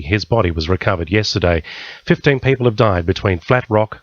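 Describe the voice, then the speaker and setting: A man's voice: on the low side, a bit bright, no room sound, muffled recording. An Australian man reading a scripted news bulletin on the radio. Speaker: radio newsreader.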